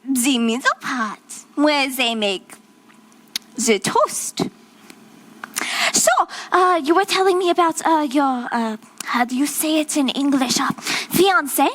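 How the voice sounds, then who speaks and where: A young actress doing a young woman badly faking a French accent, stammering through her made-up story. Her voice is high and swooping, and she talks slowly. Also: lively intonation, fairly bright tone, full character voice. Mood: flustered pretending. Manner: flustered, hesitant, fake French accent. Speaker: child actor in a musical.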